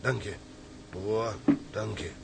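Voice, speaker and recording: An actor playing a weary, gruff German ship's captain, brooding and mixing German into his Dutch. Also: lowish voice, steady tone, very noisy. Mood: weary, bitter. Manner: slow, mixes German into broken Dutch.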